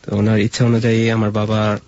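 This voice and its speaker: A man's voice: lowish voice, completely flat delivery, brisk, darkish tone, dry recording, muffled recording. A man from Mymensingh in Bangladesh, an interview guest telling personal stories in a conversational way. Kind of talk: personal storytelling in casual conversation.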